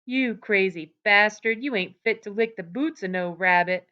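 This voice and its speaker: A woman's voice: medium pitch, normal speed, sharp tone, highs cut off, lightly acted. A woman voicing a scornful giant rabbit. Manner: scornful, taunting rural drawl. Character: gigantic scornful rabbit, sneering male voice.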